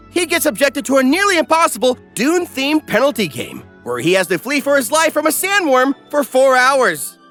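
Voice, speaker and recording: Bright, animated comedic recap narrator, male. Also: very high-pitched. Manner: wisecracking, animated. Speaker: narrator, host.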